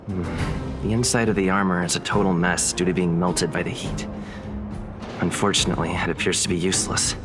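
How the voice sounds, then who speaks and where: Game role: man as curious young male traveler, deep register, mild delivery, over music. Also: little pitch movement, fairly quick, natural voice. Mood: mildly puzzled. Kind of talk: video game voice acting.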